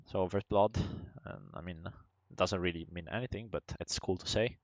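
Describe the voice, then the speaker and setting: Low-voiced man casting a game, halting. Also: no room sound, highs cut off. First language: not English. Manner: relaxed, halting, many fillers, laughs often. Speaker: esports caster. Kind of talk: play-by-play game commentary.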